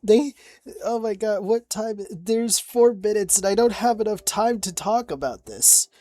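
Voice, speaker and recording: A young woman chatting casually about her tabletop game character, halting with many restarts and little giggles. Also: mid-range voice, mellow. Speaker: player recounting a tabletop campaign. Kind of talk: casual rambling chat.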